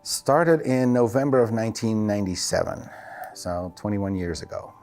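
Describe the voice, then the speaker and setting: Low-voiced man with a Dutch accent. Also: lively intonation, normal speed, darkish tone, soft background music. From Netherlands. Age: middle-aged. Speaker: physical therapist and clinic owner. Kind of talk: casual interview answers.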